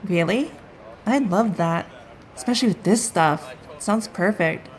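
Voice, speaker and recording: An actress playing a practical, impatient teenage heroine in an audio drama, with a casual, down-to-earth manner. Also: female voice, mid-range voice, lively intonation, unhurried, natural voice, low-quality recording. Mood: curious, wry. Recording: faint background noise.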